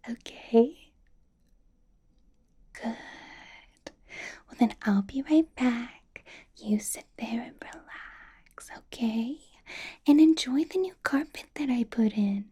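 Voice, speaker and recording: A woman playing a possessive, sweetly menacing female vampire boss in a soft, whispered ASMR roleplay. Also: a little high, husky, heavily acted. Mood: coaxing, controlling. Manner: slow, coaxing, condescending endearments.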